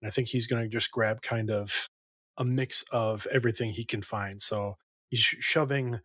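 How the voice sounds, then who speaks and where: A man's voice: medium pitch, fairly even intonation, normal speed, sharp tone, no echo, clean studio sound. A man playing a tabletop game, describing what his character does as he thinks aloud. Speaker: player. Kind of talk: casual in-character table talk.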